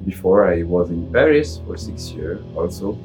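A man with a Tunisian accent speaking halting non-native English in a casual interview as a musician. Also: deep voice, lively intonation, darkish tone, faint music behind, rough recording. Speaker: musician interviewee, oud player and violinist.

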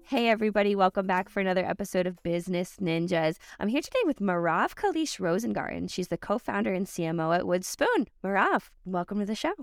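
A woman's voice: mid-range voice, lively intonation, fast, hardly any pauses, no echo, studio quality. Upbeat woman hosting a business podcast. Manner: upbeat, encouraging interviewer. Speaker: podcast host.

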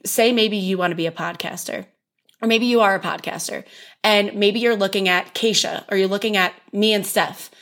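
A young woman co-hosting a podcast in casual chat, hoarse from losing her voice, enthusiastic and often asking "right?". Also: mid-range voice, fairly even intonation, normal speed, a bit bright. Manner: enthusiastic, says "right?" a lot. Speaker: podcast co-host.

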